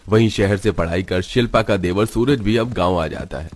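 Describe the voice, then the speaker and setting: A man's voice: sits low, normal speed. A man reading scripted narration for a cartoon story.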